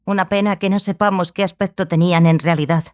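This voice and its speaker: An actress playing a serious, scholarly sorceress in an audiobook drama, speaking in a firm, measured, didactic way. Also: female voice, fairly low pitch, steady tone, darkish tone, no echo, clean studio sound, close to an everyday voice. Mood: corrective, grave.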